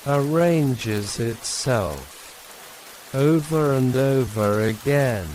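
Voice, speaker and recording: A man narrating a sleep story in a calm, hushed, soothing manner, leaving long pauses between short phrases. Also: mid-range voice, rough recording. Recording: steady rain and hiss behind voice. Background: rain.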